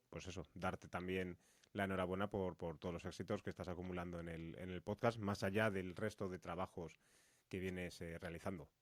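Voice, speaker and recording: Man, low voice, accent from Spain, casual podcast host, emphatic explainer, dry close recording. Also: no room sound.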